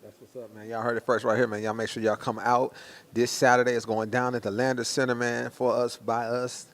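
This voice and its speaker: Fast, gravelly American hype-man interviewer. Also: mid-range voice, little pitch movement. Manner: slangy, hype-man delivery with catchphrases. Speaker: interviewer and host. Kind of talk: hyped promotional street-style interviewing.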